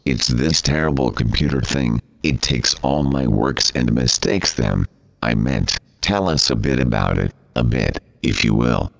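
A synthetic computer voice playing a formal, easily flustered male interviewer, very deep and gravelly. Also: expressive, measured pace, full character voice. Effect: computer text-to-speech voice. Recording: dull, muffled audio.

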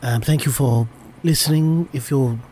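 A man's voice: medium pitch, normal speed, mellow, a little hiss or hum. A man co-hosting an improvised comedy podcast, answering with dry, understated remarks. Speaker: podcast co-host.

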